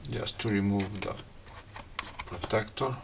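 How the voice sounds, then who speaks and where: A man with a slightly low voice walks through a product unboxing at a very slow pace. His delivery is casual and halting, broken into small pieces as if talking to himself. Some background noise sits behind the voice. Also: creaky. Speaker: gadget reviewer, host.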